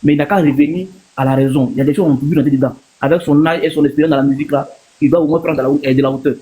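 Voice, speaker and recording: A man's voice: fairly high pitch, fairly even intonation, racing, warm tone, rough recording. A man from Ivory Coast with an Ivorian accent, giving his opinion casually as a panel guest on a live stream, repeating himself for emphasis.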